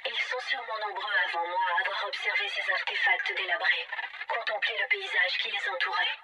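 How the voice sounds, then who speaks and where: An actress playing a melancholic, pensive AI guardian in a video game, speaking in a measured, questioning, philosophical way through a synthetic processed effect. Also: female voice, very high-pitched, gravelly, full character voice, low-quality recording. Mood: pensive, doubting. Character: melancholic, pensive female AI guardian of an ancient alien installation. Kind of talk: scripted dramatic game monologue.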